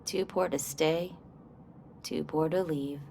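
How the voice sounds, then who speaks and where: Jaded broke local, sarcastic, mellow low voice, faint background noise, video game. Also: female voice, steady tone, slow, creaky, heavily acted. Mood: wry, bitter. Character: jaded, broke small-town local woman.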